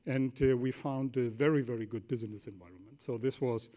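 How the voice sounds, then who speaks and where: A man's voice: mid-range voice, a little fast, mellow. A man from Germany with a German accent and German as his native language, speaking semi-formally as a company executive on a forum panel, emphatic, in short sentences with rhetorical questions.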